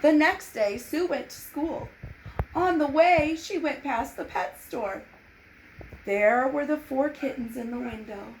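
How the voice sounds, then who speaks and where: Female children's storybook reader, high register, unhurried and expressive, slight noise behind. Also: low-quality recording. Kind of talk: expressive children's read-aloud. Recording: noticeable background noise.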